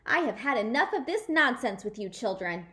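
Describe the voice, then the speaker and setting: A woman's voice: a little high, sing-song, normal speed. Woman doing stern, hollering, fed-up nana. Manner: loud, scolding.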